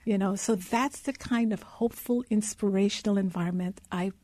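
Mellow middle-aged woman telling her story. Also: medium pitch, steady tone, normal speed, dry recording. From Guyana. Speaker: interview guest, consulting executive. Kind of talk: personal storytelling in an interview.

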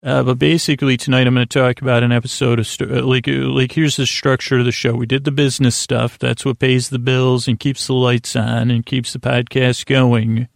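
A man with a gravelly, creaky voice drifts through a late-night sleep podcast, restarting his sentences and wandering off mid-thought. His delivery is soft and lulling, and the sound is dry and close with no room around it. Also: mid-range voice, level tone, brisk, hardly any pauses, dry recording. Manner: meandering, self-interrupting, many restarts. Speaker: podcast host.

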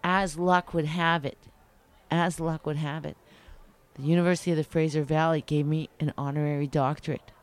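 Smooth-voiced chatty middle-aged woman. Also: deep voice, normal speed. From Canada. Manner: animated, chatty, digressive storyteller. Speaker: guest. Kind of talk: casual interview chat.

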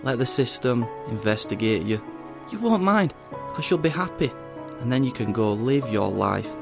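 Smug nasty agent in an audio drama, super animated pitch, bit of music under it. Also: male voice, normal speed, gravelly. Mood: smug, persuasive. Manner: condescending, emphatic. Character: ruthless male government agent, smug, condescending, menacing.

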